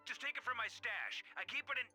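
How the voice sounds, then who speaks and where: A wry, unflappable knight commander from a video game. His voice is very sharp and forceful, pitched high for a man and lively, with faint music behind. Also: fast talker, full character voice. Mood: easygoing. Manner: wry, casual. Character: rugged, wry, unflappable knight grandmaster in battle.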